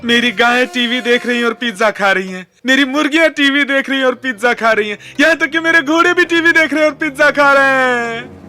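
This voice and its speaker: A man's voice: extremely high pitch, brisk, pushed and bright, faint music behind, exaggerated voice. A man playing an exasperated, put-upon farmer in a cartoon dub, fussy and complaining. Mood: distraught.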